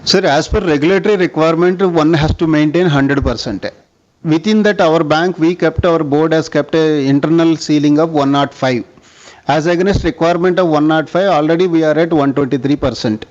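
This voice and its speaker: A man's voice: a little high, moderate pace. A man with an Indian accent answering analysts' questions formally as a bank executive on an earnings call. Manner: formal, often addressing listener as sir. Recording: dull lo-fi conference call audio.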